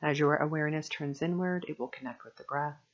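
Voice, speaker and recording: A woman guiding a meditation as a teacher, speaking gently and soothingly in measured phrases with long pauses. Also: low-pitched, muffled recording. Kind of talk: guided meditation narration.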